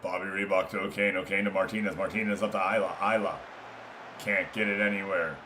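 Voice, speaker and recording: A man's voice: low-pitched, little pitch movement, fairly quick, noisy background. A man doing live, informal play-by-play of a football game, chatting casually with viewers between passes. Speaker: streamer commentating a football management game.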